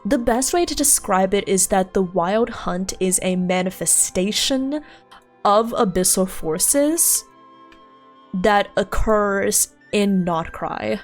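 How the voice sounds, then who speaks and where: A young woman chatting casually with her stream viewers while playing a game, laughing often and thinking out loud. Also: mid-range voice, expressive, slow pace. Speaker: livestreamer. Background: faint game music.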